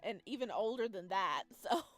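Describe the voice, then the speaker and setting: A woman hosting a let's play, narrating a visual novel and reacting casually in an expressive, informal way. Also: mid-range voice, normal speed. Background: music.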